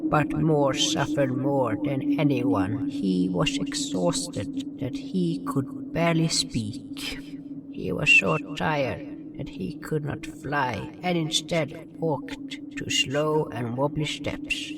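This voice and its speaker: A man's voice: on the high side, lively intonation, slow pace, background noise. A man narrating a scripted first-person fantasy tale as a solemn storyteller. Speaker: narrator and writer. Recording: distant voice, music underneath.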